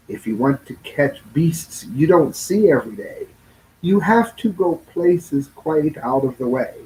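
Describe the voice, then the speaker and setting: A man's voice: mid-range voice, a little mellow, a little hiss or hum, rough recording. A man reading a children's rhyming storybook aloud in a theatrical sing-song way, stretching phrases and sometimes stumbling over words. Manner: stumbles and corrects himself while reading. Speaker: storybook reader.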